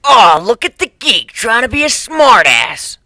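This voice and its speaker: A man voicing a loutish, menacing teenage male bully in a dramatic story reading, with drawn-out taunts. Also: very high voice, big pitch swings, dry recording, voice pushed up, full character voice. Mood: mocking taunts. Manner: drawn-out, loud, taunting delivery.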